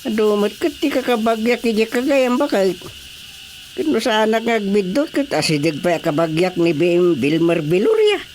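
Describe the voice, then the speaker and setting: A man's voice: sits high, big pitch swings, normal speed, a little mellow, a little hiss or hum. A man playing a jovial, wheedling middle-aged village chairman in a comic radio drama, with an Ilocano accent and exclamatory, theatrical delivery. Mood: chatty.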